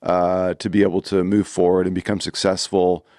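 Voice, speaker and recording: Host: lowish male voice, easy encouraging interviewer, close and dry. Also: flat delivery, normal speed, a little mellow, no room sound. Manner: encouraging, with frequent short backchannels. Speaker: podcast host. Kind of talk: casual conversational interview.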